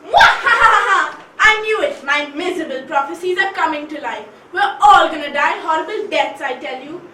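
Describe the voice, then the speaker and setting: A woman's voice: sits high, expressive, brisk, bright, forceful tone. A woman playing a gloomy, cackling prophet of doom in an amateur stage play. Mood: gleeful. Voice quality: gleeful, cackling. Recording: echoey hall, far from mic, faint noise.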